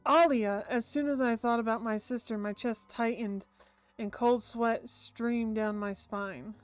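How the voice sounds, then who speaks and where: Female visual novel streamer, unhurried and expressive, slipping into casual asides, faint game music behind. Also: on the high side. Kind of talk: reading a visual novel aloud with reactions.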